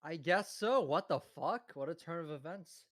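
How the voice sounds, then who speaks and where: Sex: man. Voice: higher pitch, very lively intonation. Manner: teasing, laughs often. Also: normal speed, dry recording. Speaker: friend on stream. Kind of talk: casual gaming chat.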